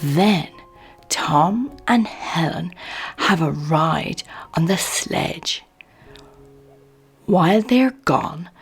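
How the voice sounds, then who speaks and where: A woman reading a children's picture book aloud in an expressive, measured bedtime storytelling manner. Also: lowish voice, gravelly, breathy edge.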